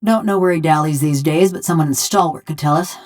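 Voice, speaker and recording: Woman voicing a low-pitched, vengeful bronze construct woman. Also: fairly quick, darkish tone, lightly acted. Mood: cold, resolute. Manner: folksy rustic drawl, sardonic. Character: vengeful, wry bronze construct housing a murdered village woman's soul. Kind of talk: video game character dialogue.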